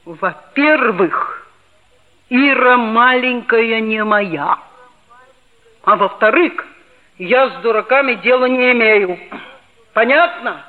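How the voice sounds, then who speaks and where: A woman's voice: fairly high pitch, slow pace, long pauses, phone-quality sound. An actress playing an earnest, proud seventh-grade schoolboy who narrates his own story in a 1960s radio play. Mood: indignant. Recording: thin band-limited old radio recording, music behind.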